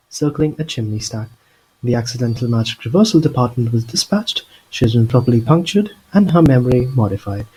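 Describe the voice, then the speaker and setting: Pompous middle-aged minister for an audio play acted by a man, evasive and formal, with a dark, husky voice. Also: medium pitch, rough recording. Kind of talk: audio drama acting.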